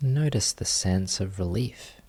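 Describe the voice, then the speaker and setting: A man with a deep, low-pitched voice teaches a guided meditation. He speaks very slowly and gently, leaving long pauses between short phrases of instruction. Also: breathy edge.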